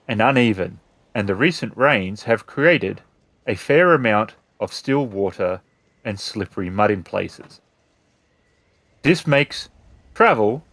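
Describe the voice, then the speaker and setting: A man narrating a tabletop roleplaying game as storyteller, describing scenes in measured, deliberate phrases. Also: on the low side, fairly bright tone, dry recording.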